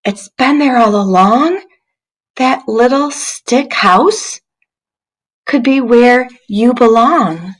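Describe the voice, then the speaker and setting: A woman voicing an encouraging, excitable female twig for a children's storybook read-aloud. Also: on the high side, takes it slowly, voice pushed up. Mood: hopeful. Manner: urging, upbeat.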